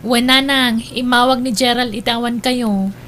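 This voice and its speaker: Woman acting a high-voiced, caring big sister. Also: fairly even intonation, unhurried, a bit bright, a little hiss or hum. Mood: reassuring. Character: caring, chatty older sister of the suitor. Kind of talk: Ilocano audio drama acting.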